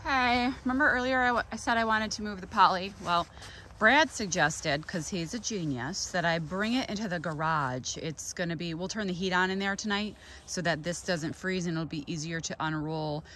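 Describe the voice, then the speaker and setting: A woman's voice: lowish voice, moderate pace, sharp tone, slight background noise. A woman in her thirties talking casually to a handheld phone while working outdoors on her flower farm, thinking aloud and correcting herself. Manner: chatty, thinking aloud, self-correcting asides. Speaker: flower farmer vlogger. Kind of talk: casual vlog narration. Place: outdoors on a farm in cold weather, partly in a car. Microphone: handheld phone camera.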